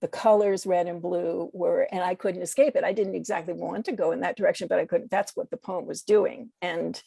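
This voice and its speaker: An American woman speaking as a guest poet in a reflective interview, halting and searching for words as she corrects herself. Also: on the low side, steady tone, gravelly, warm tone, slightly rough, no echo. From United States.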